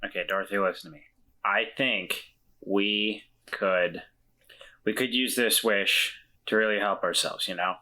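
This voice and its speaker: A man's voice: on the low side, steady tone, unhurried, pushed and bright, low-quality recording. A man playing a tabletop role-playing game in character, earnest and a bit hesitant, with wry asides. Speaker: player.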